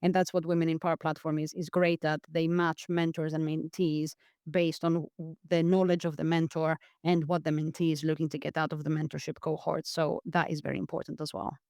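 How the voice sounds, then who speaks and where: A woman from Greece speaks as a guest on a podcast, earnest and reflective, often repeating words as she restarts a thought. Her voice is smooth and resonant, and the recording is close and dry, with no room sound. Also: low-pitched, level tone, a little fast, darkish tone, dry recording. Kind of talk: reflective interview talk.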